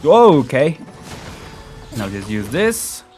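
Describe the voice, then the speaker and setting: A chatty man gives casual let's play commentary in a mid-range voice. His pitch moves around a lot and he chuckles easily. Faint game music plays behind him. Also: sing-song, very slow. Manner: chatty, often chuckling between remarks. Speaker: gaming video host.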